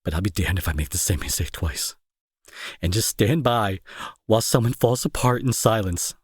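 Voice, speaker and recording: Man acting a husky, remorseful bully. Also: fairly low pitch, animated, no echo. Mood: remorseful, resolute. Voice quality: husky, breathy. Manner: halting, emotional, earnest pleading. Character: angry male bully turned remorseful, protective, grieving brother. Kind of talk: intimate dramatic audio roleplay monologue.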